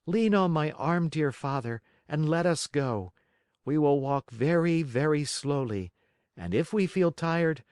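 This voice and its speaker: A man voicing an eager young wooden marionette boy with a bright, earnest voice. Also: a little high, expressive, unhurried, clean tone, dry recording, studio quality, pitched above the performer's own voice. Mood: tender.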